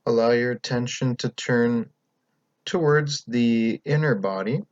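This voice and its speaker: A man leading a guided meditation as the teacher, speaking in a calm, gentle, unhurried way with pauses between instructions. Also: mid-range voice, no room sound, dull audio.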